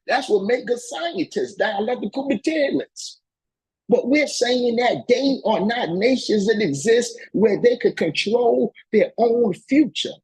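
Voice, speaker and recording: A man's voice: high-pitched, darkish tone, breathy edge, low-quality recording. A man giving an impassioned political lecture, reading and commenting in an emphatic, colloquial way and repeating phrases to drive them home.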